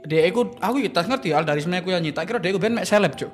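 Seorang pria muda dengan logat Jawa Timur, membawakan cerita santai sebagai co-host podcast. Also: male voice, sits high, lively intonation, very fast, soft background music. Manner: chatty storytelling, mixing Indonesian and Javanese slang. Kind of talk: casual podcast banter.

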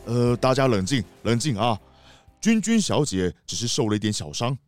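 A man playing a polite, worried city mayor who tries to calm people in a radio drama. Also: medium pitch, normal speed, no room sound, faint music behind, lightly acted. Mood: placating. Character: anxious, placating city mayor hosting a cruise.

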